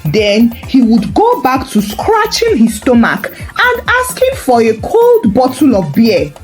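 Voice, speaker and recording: Woman, storyteller, high voice, lively swooping intonation, wry scripted narration, music underneath. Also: takes it slowly.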